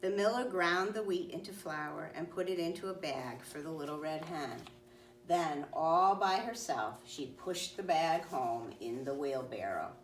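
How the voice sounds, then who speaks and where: A woman with a low voice reads a children's story aloud. Her phrasing is deliberate and clear. She sounds slightly away from the mic in an echoing room. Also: lively intonation. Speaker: storybook reader. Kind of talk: children's read-aloud narration. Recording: roomy, distant mic, faint noise.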